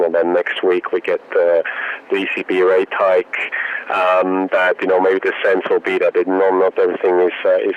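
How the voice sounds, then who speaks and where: A man giving unscripted expert commentary over the telephone as an interview guest, halting and repeating words as he thinks. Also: low-pitched. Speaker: bank market analyst, interview guest. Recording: dull, lo-fi phone line.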